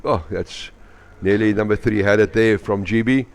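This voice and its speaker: A man's voice: fairly low pitch, fairly even intonation, moderate pace. A man with a South African accent, a native Afrikaans speaker, giving live match commentary and cheering on his team. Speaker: sports commentator.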